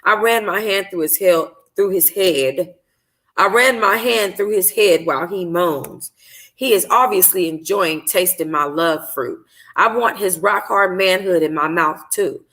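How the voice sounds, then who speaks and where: A woman's voice: lowish voice, measured pace, a bit bright. A woman reading a novel aloud in an informal way, as a book club host, sometimes stumbling and rereading phrases. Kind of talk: informal first-person audiobook narration.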